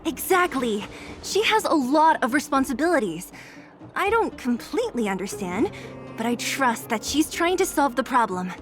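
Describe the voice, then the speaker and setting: A woman's voice: high voice, very animated, normal speed, soft background music. An actress voicing a brusque, no-nonsense young woman in a video game, blunt and matter-of-fact. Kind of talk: anime-style game voice acting.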